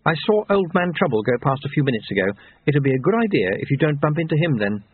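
A severe young woman as a male narrator puts her on, raised in pitch and speaking with withering precision. She is brisk, formal and in full command, an audiobook character heard through a thin, phone-like band. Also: sing-song, husky, no echo. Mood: brisk, dry. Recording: narrow tinny phone-like sound.

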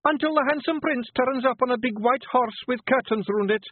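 A man voicing a small, knowing male fairy with a high, eager voice. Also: a little fast, no echo. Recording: dry tinny phone-like sound.

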